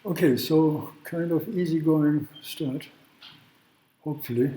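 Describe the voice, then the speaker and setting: A man from Germany, a law professor giving a university lecture in a halting way, with restarts and throat clearing. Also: a little high, noisy background. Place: large classroom. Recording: echoey room.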